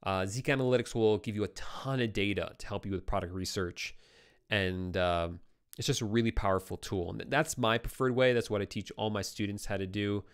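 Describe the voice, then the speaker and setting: Young male livestream host, slightly low voice, worn and hoarse from talking, relaxed answers into a close boom mic. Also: brisk. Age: young adult. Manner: relaxed, conversational, reads viewer comments aloud. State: voice getting tired and hoarse. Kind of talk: casual live Q&A. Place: home studio with brick wall. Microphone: boom-arm studio microphone close up.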